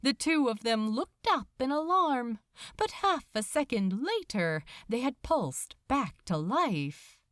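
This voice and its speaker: A woman reads fiction aloud as a dramatic storyteller. Her voice sits a little high and moves very expressively, taking its time in a dry, close recording. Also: sing-song, slow, a bit bright, no room sound. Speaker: podfic narrator. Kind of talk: expressive scripted fiction narration.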